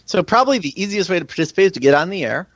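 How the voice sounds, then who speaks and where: A man's voice: high voice, animated, racing, muffled recording. A man speaking as a guest in a casual interview, explaining things in a conversational way. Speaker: guest, amateur radio contest organizer.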